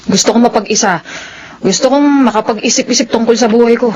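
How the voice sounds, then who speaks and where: A woman's voice: a little high, quick pace, darkish tone, dull audio, a little hiss or hum, lightly acted. A woman playing a strong-willed, guarded and earnest tomboy in a radio drama. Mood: cold, weary. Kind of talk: radio drama acting in Filipino soap.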